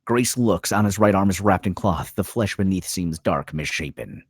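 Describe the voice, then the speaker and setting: A man's voice: sits low, animated, normal speed, slightly rough, no room sound, clean studio sound. A man reading screenplay stage directions as scripted narration, in terse, dramatic phrases.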